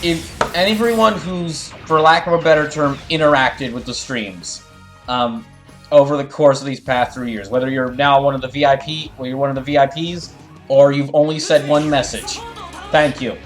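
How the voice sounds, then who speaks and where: A man talking casually to his livestream chat as a game streamer, halting and rambling as he corrects himself. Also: mid-range voice, faint music behind.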